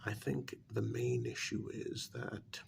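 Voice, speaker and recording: A man doing a soft-spoken ASMR role-play as a frank, patient adviser to a cursed vampire, speaking in a measured, whispery way with a gravelly fry. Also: medium pitch, fairly even intonation, slight background noise. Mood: coaxing, firm. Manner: measured, deliberate, explanatory.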